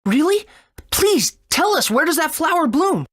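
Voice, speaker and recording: High, lively young boy adventurer. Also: measured pace, heavily acted. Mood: earnest. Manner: excitable, earnest. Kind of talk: video game character acting.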